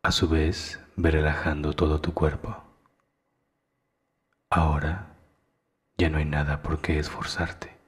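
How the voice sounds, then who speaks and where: A man narrating a guided meditation in a hushed, soothing, deliberate way with long pauses. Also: a bass voice, level tone.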